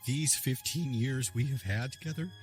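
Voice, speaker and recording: A man's voice: mid-range voice, normal speed, soft background music, lightly acted. A man playing a tender, grieving adoptive father, narrating in the first person in an earnest, heartfelt way. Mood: loving.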